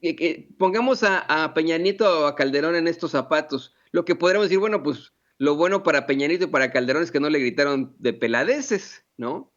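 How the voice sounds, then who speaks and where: A man's voice: on the high side, animated, a little fast, hardly any pauses, fairly bright tone. A man with a Mexican Spanish accent co-hosting political commentary, rambling with many fillers and restarts. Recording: dull lo-fi sound.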